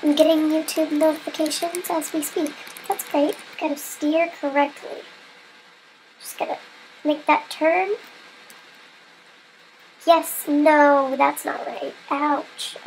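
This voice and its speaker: A woman's voice: sits high, little pitch movement, slow, vocal fry, long pauses, darkish tone, slight background noise, rough recording. A young woman giving casual, giggly commentary over her gameplay, her nose stuffed up as she comes down with a cold. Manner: chatty, giggly, self-mocking. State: stuffy nose, getting sick. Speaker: gamer.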